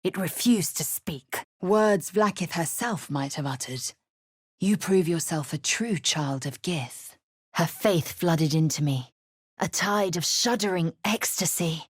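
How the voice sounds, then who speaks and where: An actress playing a fierce, haughty young githyanki warrior woman in a video game, with a husky, raspy voice and a clipped, imperious, disdainful delivery. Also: on the low side, lively intonation, slow, dry recording, studio quality, heavily acted. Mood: stern, disdainful. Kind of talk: scripted video game voice lines and narration.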